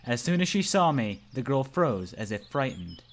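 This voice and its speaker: A man's voice: mid-range voice, very animated, normal speed. A young man reading visual novel narration aloud in an amateur, dramatic way, as narrator of a let's play.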